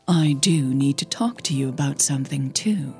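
A woman playing a cool, guarded older female coach, measured and reluctant, in an audio drama. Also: very deep voice, lively intonation, a little mellow, faint music behind. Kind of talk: audio fiction dialogue.

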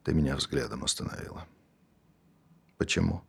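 An actor playing a calm, insistent lover who quietly urges a murder, with loud music behind. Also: male voice, a bass voice, moderate pace, vocal fry, slightly rough, heavily acted. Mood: coaxing. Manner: quiet, persuasive, pressing questions. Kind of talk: stage play performance.

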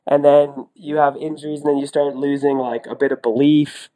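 A man's voice: on the high side, flat delivery, normal speed, dark tone, rough recording. Talkative man in casual sports chat. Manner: talkative, colloquial, emphatic repetition. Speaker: podcast co-host and sports commentator, former athlete.

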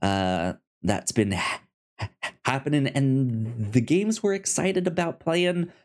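A man's voice: medium pitch, lively intonation, takes it slowly. A man hosting a podcast in a casual, chatty style, often tripping over his words. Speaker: podcast host.

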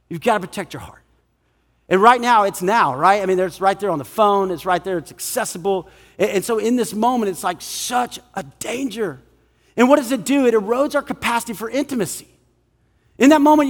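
A male pastor with a high-pitched voice preaches in a long, ringing hall reverb. His delivery is conversational and animated, acting out little quotes in everyday slang. He checks in with listeners and repeats phrases to drive them home. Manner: animated, acts out imagined quotes in casual slang; rhetorical check-ins and repetition for emphasis. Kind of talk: conversational evangelical sermon.

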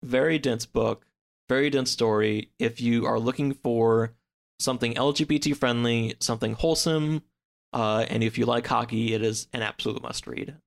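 A man speaking as a podcast guest, discussing a book in a casual, halting, conversational way. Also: medium pitch, fairly even intonation, creaky.

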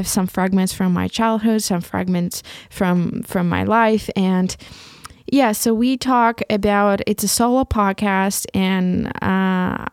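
A young Ukrainian woman with a Ukrainian accent, speaking English as a second language. She hosts a solo podcast and talks in a halting way, restarting and repeating words often. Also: medium pitch, a little mellow, dry recording, clean studio sound. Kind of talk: casual solo podcast monologue.

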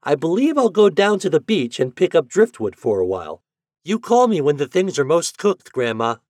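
A man's voice: lively intonation, moderate pace, dry recording, studio quality, close to an everyday voice. Man putting on an eager, piping little boy. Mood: excited. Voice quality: piping, shrill but clear. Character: eager, plucky little boy, cheerful and hopeful.

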